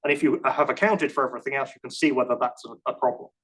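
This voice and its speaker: A man at a lecture-room conference fielding technical questions. He talks in a casual, halting way, restarting sentences and hedging with self-deprecating remarks. His voice sits at an ordinary middle pitch. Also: steady tone, a bit bright, breathy edge. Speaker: conference speaker answering questions.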